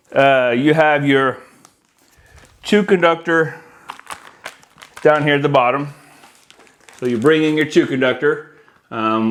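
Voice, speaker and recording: American man casually explaining a product. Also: mid-range voice. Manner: conversational, explanatory, addresses viewers as guys. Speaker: audio-video installer presenting products. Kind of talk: casual product walkthrough to camera. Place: indoor room with decorated wall, at a worktable.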